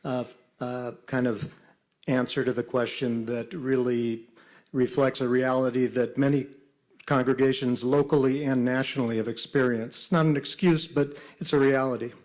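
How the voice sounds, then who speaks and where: A man's voice: mid-range voice, fairly even intonation, moderate pace, a little mellow. Man giving a reflective panel answer. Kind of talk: panel discussion.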